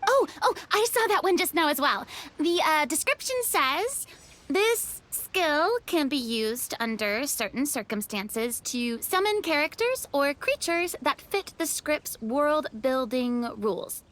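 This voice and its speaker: A woman's voice: sits high, lively intonation, a little slow, fairly bright tone. A woman playing a polite, earnest young woman who speaks thoughtfully and a little hesitantly in an anime game.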